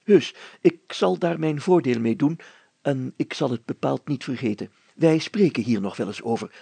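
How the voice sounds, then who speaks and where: A man voicing a pompous, excitable mayor in a storybook reading, grandiose and declaiming. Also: mid-range voice, moderate pace, gravelly, slightly rough, no room sound. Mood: effusive, self-important. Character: pompous, excitable middle-aged male mayor, theatrical and effusive.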